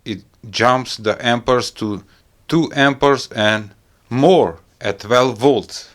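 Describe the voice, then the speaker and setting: A man's voice: medium pitch, slow. A man hosting a casual hands-on product review, speaking English with non-native phrasing. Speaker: host of a gadget review channel. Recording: loud music behind the voice.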